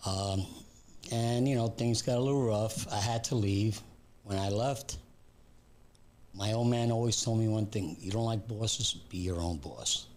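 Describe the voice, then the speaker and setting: A man's voice: fairly low pitch, measured pace, darkish tone, slight background noise. A man in his seventies, born in Puerto Rico and raised in New York, speaking as a guest in a casual interview in an easygoing, self-deprecating way. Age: 70s. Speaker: guest, business founder.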